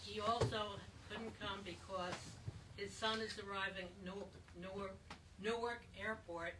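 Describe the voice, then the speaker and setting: An older woman with a loud voice, telling a personal story to a small audience. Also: mid-range voice, fairly even intonation, measured pace. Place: small historic house meeting room. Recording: distant mic with background noise.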